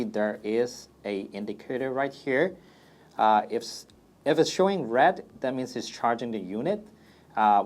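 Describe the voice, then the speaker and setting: Male product presenter, lowish pitch, casual FAQ demo, plain step-by-step explanations.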